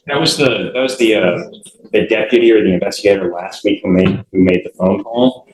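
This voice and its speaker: A man's voice: lowish voice, sing-song, a little fast, darkish tone, a little hiss or hum. A man giving brief, hesitant, deferential answers as a prosecutor in court. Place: indoor courtroom. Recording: echoey courtroom audio.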